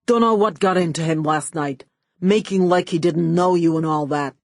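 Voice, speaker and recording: A woman's voice: lowish voice, normal speed, dry recording, close to an everyday voice. A woman voicing a gushing, shifty male film studio boss in an audiobook, effusive and slangy in a confiding way.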